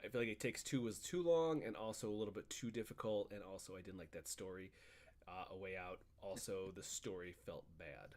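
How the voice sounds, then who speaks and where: A man's voice: sits low, a little fast, darkish tone. A man co-hosting a podcast, giving opinionated, exclamatory takes in casual chat about video games. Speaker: podcast co-host.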